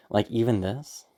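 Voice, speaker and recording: A woman with a low, deep, mellow voice talks through a casual afterword. She rambles chattily and laughs often. She is a little sick, so her throat sounds worn. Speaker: audio roleplay creator. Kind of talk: casual spoken afterword, explaining.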